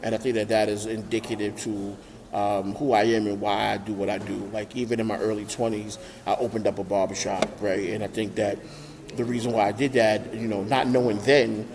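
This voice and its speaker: Guest, NY accent, thirties, low voice, loose and rambling. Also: male voice, brisk, background noise. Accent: New York. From Brooklyn, New York. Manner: relaxed, many fillers and restarts. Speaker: nonprofit founder, guest. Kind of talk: remote interview conversation. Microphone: remote call.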